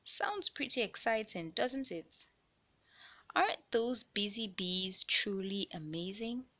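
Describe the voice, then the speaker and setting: A woman reading a children's science picture book aloud in an expressive, deliberate storyteller style for young listeners. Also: medium pitch. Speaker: read-aloud narrator of children's books.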